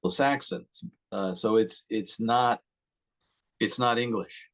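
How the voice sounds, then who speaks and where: A man hosting a conversational interview, putting questions in a halting, roundabout way. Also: mid-range voice, a bit bright. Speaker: interviewer, host.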